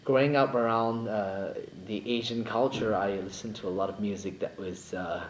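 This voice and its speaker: Young man from Singapore, low-pitched singer guest, joking and rambling, roomy echo with faint background hum. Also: steady tone, normal speed. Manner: playful, jokey, rambling with many fillers. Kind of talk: casual interview chat.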